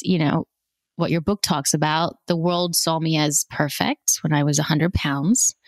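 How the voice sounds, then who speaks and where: A woman, a podcast guest speaking candidly and emotionally, often halting as she reflects. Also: sits low, no echo. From born in South Africa, raised in Australia. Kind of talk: candid personal conversation.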